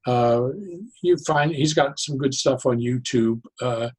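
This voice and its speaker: A man explaining ideas conversationally as an interview guest, in an animated, halting way, often repeating and interrupting himself. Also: medium pitch, slightly rough. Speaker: podcast guest, psychologist turned joy advocate.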